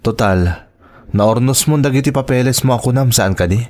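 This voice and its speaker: A man's voice: mid-range voice, moderate pace, a little mellow, natural voice. A man with an Ilocano accent acting expressively in a family radio drama. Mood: resentful. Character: male characters in a family and romance drama.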